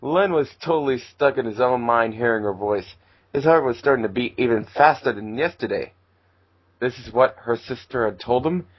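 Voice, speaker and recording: A man with a medium-pitched voice narrates fan fiction as an amateur host. He reads informally, slipping in asides and stumbles. The recording is a bit dull. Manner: casual asides and stumbles while reading.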